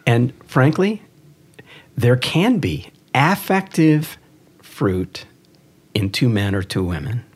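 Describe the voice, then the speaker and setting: A man's voice: medium pitch, lively intonation, slow pace, long pauses, breathy edge. A man speaking as a priest and podcast host in earnest religious discussion, emphatic and given to repeating key phrases.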